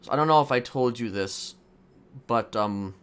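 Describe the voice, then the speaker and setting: A man's voice: mid-range voice, a bit bright, smooth, close to an everyday voice. A man playing a hesitant, stammering serial killer who politely and evasively confesses his crimes in an improvised roleplay game. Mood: halting confession. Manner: halting, stammering, evasive, politely matter-of-fact.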